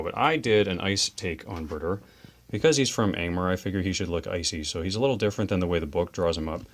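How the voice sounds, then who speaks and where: A man hosting a tabletop wargame show, chatting casually and enthusiastically about models, dice and rules. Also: deep voice. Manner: chatty, enthusiastic, quick asides. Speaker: host of a tabletop wargame channel.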